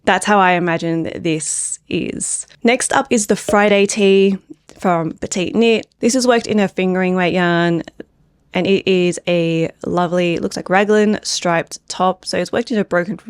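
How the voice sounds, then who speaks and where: A woman's voice: fairly low pitch, a little slow, smooth, no echo, studio quality. Chatty woman, slightly phlegmy voice. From Australia. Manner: chatty, rambling, many filler "like"s. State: phlegmy throat from a cold. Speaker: knitwear designer and host. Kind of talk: casual pattern review chat.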